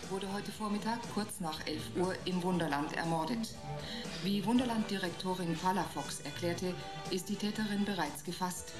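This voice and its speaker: An actress playing a formal, matter-of-fact newsreader reading a scripted bulletin in a science fiction radio play. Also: female voice, fairly low pitch, lightly acted. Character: formal female newsreader on futuristic broadcast.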